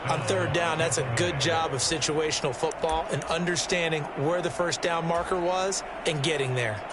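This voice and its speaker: A man's voice: on the high side, normal speed, a crowd in the background, noisy background. A man giving color commentary in a video game football broadcast, explaining plays in a folksy, analytical way. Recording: game audio over loud music.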